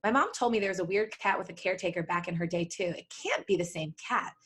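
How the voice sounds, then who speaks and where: Steady young wizard man, read by a woman. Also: on the low side, quick pace, husky. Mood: uneasy. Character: steady, protective young male wizard, reassuring. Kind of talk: amateur story reading.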